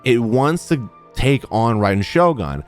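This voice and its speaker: A man's voice: medium pitch, big pitch swings, measured pace, faint music behind. A young man giving casual, slangy live commentary as he reacts to a game he is streaming, close on the mic. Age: young adult. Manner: slangy, chatty. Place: small indoor streaming room. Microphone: desk microphone close to mouth.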